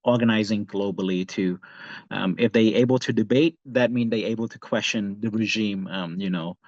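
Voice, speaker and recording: A young man, an activist introducing himself on a webinar, speaking haltingly with many fillers. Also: fairly low pitch, a little mellow, no echo. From Cambodia. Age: young adult. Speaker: youth activist, panelist. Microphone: video call. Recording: dull lo-fi video call audio.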